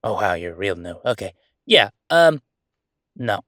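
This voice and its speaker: A man playing a friendly, mischievous ghost roommate in a casual roleplay audio drama, talking in an excitable, joking, conversational way. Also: lowish voice, unhurried, dry recording, clean studio sound. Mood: chatty, relaxed. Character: friendly male ghost roommate, playful, mischievous, chatty jokester.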